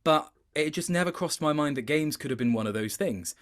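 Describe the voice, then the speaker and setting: A man's voice: a little high, expressive. Reflective male voice actor in casual interview. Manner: reflective, self-correcting, halting phrasing. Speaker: interview guest, video game voice actor.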